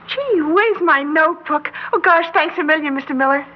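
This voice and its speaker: A woman's voice: high-pitched, expressive, moderate pace. A woman playing a precocious schoolgirl in an old-time radio drama, putting on girlish innocence and a superior, precisely worded manner. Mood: giddy, flustered. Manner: switches between gushing girlish and precise, condescending diction. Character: precocious schoolgirl plotting revolution, feigning giddy innocence, coolly superior. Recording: muffled, hissy old-time radio recording.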